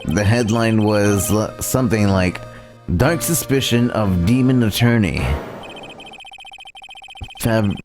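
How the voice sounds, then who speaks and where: Man acting out young male defense attorney, loyal, dryly wry, unhurried halting delivery, low-ish pitch, game dialogue. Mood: somber. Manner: halting, stumbling over lines. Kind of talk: reading visual novel game dialogue aloud. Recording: music playing behind the voice.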